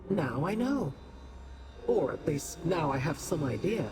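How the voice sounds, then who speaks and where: A woman's voice: low-pitched, sing-song, measured pace, noisy background, full character voice. A woman playing an imperious, cryptic otherworldly being in a fantasy video game, with a theatrical, formal delivery. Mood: intrigued, cryptic.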